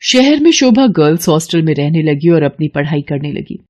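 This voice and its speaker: A woman's voice: sits low, very animated, normal speed, background noise. A woman reading a scripted, dramatic story as its narrator.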